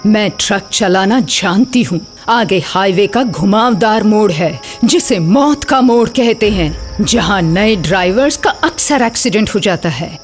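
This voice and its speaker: A woman's voice: mid-range voice, natural voice. A woman voicing a menacing, bossy ghost woman in a cartoon horror story, speaking in a commanding, insistent way. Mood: scornful, warning. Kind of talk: Hindi animated horror story voice acting.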